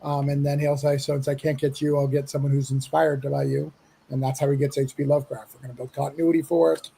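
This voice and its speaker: A man with a husky, gravelly voice hosting a casual livestream, talking informally and in halting pieces. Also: mid-range voice, level tone, dark tone, low-quality recording. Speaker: livestream host and comic project organiser. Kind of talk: casual livestream announcement and chat.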